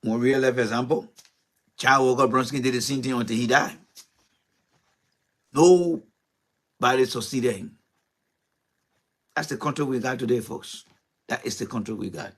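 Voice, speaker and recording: A man delivers a political monologue as host of his own show. His voice sits at an ordinary middle pitch. He talks with passion, fires off rhetorical questions and circles back to repeat his points. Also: measured pace, long pauses.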